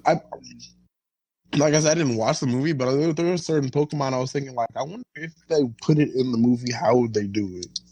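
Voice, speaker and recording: A man's voice: mid-range voice, fast talker, mellow, slightly rough, no echo, low-quality recording. A man co-hosting a podcast, chatting casually with slangy, profane informality. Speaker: podcast co-host. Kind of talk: casual chat.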